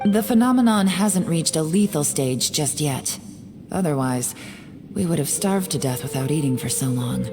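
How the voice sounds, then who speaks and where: A woman's voice: low voice, animated, moderate pace. An actress playing a cool, self-assured female investigator in an anime video game, composed and clipped. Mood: matter-of-fact. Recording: long reverb, music behind.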